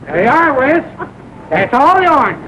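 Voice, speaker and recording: An actor playing a bumbling small-town justice of the peace in 1940s film comedy style, by turns mock-solemn and jolly. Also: male voice, very high-pitched, very animated, takes it slowly, sharp tone, exaggerated voice. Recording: dull, noisy old film soundtrack.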